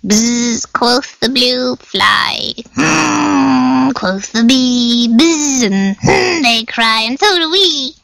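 A woman voicing tiny sleepy bats with small, shrill voices in a storybook reading. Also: animated, extremely slow, vocal fry, a bit bright, no echo, heavily acted. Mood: mysterious. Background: instrumental music.

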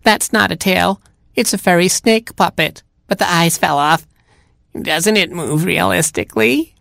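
A performer voicing a sly storybook cat who pretends to be a frail, fussy old grandmother bird, in drawn-out, quavery talk. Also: mid-range voice, animated, vocal fry, fairly bright tone, dry recording, clean studio sound, voice pushed up. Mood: bluffing.

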